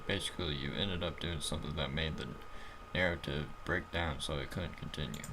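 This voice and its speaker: A man's voice: very deep voice, fairly even intonation, a little fast, background noise. A man voicing a perky, teasing female vet-clinic guide in a comic game, breezy and playful. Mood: breezy, explanatory.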